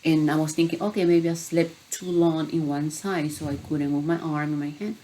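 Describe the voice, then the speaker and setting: A woman's voice: low-pitched, fairly even intonation, moderate pace, warm tone, a little hiss or hum. A middle-aged woman from Peru, a health coach, earnestly telling her own story in a personal vlog.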